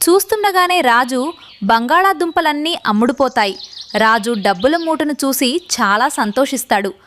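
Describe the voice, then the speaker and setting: A woman's voice: high-pitched, animated, normal speed, fairly bright tone, slight background noise. A woman narrating a scripted children's moral story.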